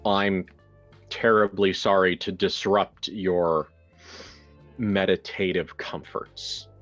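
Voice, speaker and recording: A man playing a weary, sardonic, not-quite-human man with a dry deadpan delivery in a horror roleplaying game. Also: sits low, slow pace, highs cut off, soft background music. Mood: weary sarcasm.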